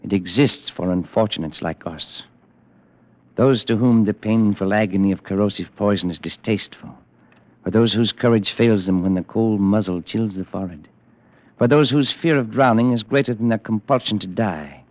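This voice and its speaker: A suave, sinister recruiter, voiced by a man speaks in smooth, persuasive, ominous tones. His voice is on the low side. The old radio recording sounds muffled and dull. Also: moderate pace, darkish tone, no echo, natural voice. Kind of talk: old-time radio drama.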